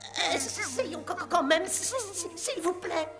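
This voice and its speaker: A woman voicing a timid, hopeful little puppet in an animated film dub, stuttering nervously. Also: very high-pitched, unhurried, over music, full character voice. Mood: nervous, pleading.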